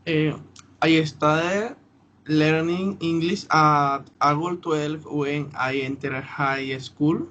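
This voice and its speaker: Young man, Colombian Spanish accent, slow hesitant speech, muffled recording with faint noise. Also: on the high side, steady tone, fairly bright tone. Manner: halting, hesitant. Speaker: podcast panelist, language student. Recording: dull lo-fi audio, faint noise.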